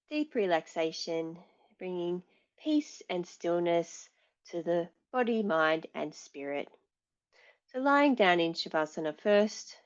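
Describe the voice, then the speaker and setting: A woman teaching yoga nidra, guiding relaxation in a calm, soothing, measured way with long pauses. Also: fairly low pitch, muffled recording. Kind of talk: guided relaxation, meditation instruction.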